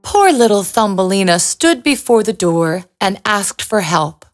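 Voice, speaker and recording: Woman, children's fairy-tale narration, storyteller, very animated intonation, unhurried pace. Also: mid-range voice, faint music behind.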